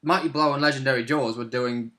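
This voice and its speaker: A man's voice: medium pitch, steady tone, fast, pushed and bright. A young man, a co-host and player, chatting casually about a tabletop game in a room at home. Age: young adult. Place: small room at home, indoors.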